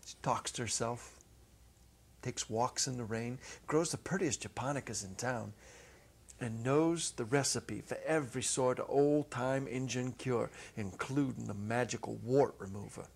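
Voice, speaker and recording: Casting: middle-aged man as story narrator, raspy and gravelly, phrasing deliberately for dramatic effect. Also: mid-range voice. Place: small indoor stage set. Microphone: clip-on lapel mic.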